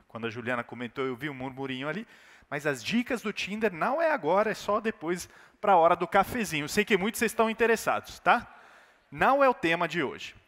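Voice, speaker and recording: A man's voice: a little high, fairly bright tone. A man with an Italian accent, whose first language is Italian, giving an emphatic business keynote with rhetorical questions. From Italy. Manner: emphatic, rising and falling delivery with rhetorical questions. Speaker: keynote speaker. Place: large conference hall. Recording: reverberant hall.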